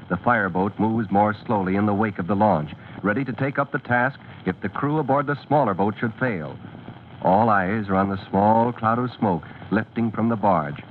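A man's voice: on the low side, a little hiss or hum. Tinny vintage radio announcer, dramatic man. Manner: dramatic, declamatory. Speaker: announcer and narrator. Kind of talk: 1940s radio narration, scripted.

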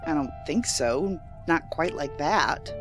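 An actress playing a skeptical, sharp-tongued federal agent in a science fiction audio drama, blunt and challenging with a sardonic edge. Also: female voice, deep voice, expressive, slow. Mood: guarded, concerned. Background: music under the voice.

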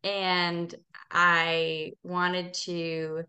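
A woman speaking as an interview guest in casual, halting conversation, often correcting herself as she explains. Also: fairly low pitch, little pitch movement, bright, forceful tone. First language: English. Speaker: podcast guest, language club organizer.

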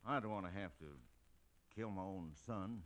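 A man's voice: low voice, moderate pace, loud music behind the voice, natural voice. An actor playing a stubborn, hot-tempered middle-aged marshal and troubled father with an American Western accent and folksy, blustering talk, in an old radio Western. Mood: subdued, troubled. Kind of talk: old-time Western radio drama acting.

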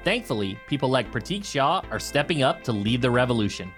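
A man's voice: mid-range voice, very animated, brisk, fairly bright tone, soft background music. A man hosting a podcast, moving between scripted narration and interview talk. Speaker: podcast host.

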